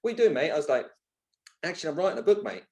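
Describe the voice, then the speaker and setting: Higher-voiced man telling candid stories. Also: sing-song, racing, a little mellow. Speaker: podcast guest, author. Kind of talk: candid conversational storytelling.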